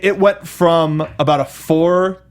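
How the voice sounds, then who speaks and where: A man co-hosting a review show in casual, animated chat, often acting out imagined lines and reactions as he talks. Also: a little high, takes it slowly. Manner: acts out imagined lines and reactions while talking. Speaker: co-host of a film review show.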